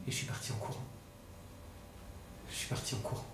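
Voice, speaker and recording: A man's voice: very high-pitched, racing. An actor playing an anxious, short-tempered young man, blunt and insistent, in naturalistic drama. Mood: shaken, hushed. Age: young adult. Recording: echoey, noisy room, distant mic.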